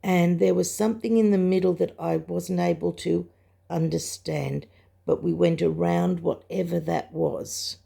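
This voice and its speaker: An older woman with a croaky voice gives a personal spiritual testimony, telling it in a halting, reflective way. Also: fairly low pitch, steady tone, warm tone. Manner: halting, reflective storytelling with pauses. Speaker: religious vlogger sharing a testimony. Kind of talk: personal spoken testimony, recounting a dream.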